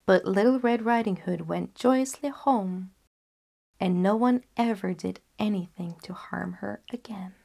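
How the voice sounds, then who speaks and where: A young woman storyteller narrating a scripted fairy tale in a careful, measured read-aloud manner. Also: mid-range voice, vocal fry, mellow. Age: young adult. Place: small curtained indoor space, seated.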